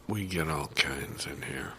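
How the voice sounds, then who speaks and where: Man playing stubborn, gravelly, very deep-voiced returns clerk. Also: unhurried, bright, forceful tone, exaggerated voice. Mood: grudging. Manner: grudging, repetitive. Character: stubborn, irritable male returns clerk, Mister Quisling. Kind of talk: improvised audio comedy sketch. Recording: clear background ambience noise.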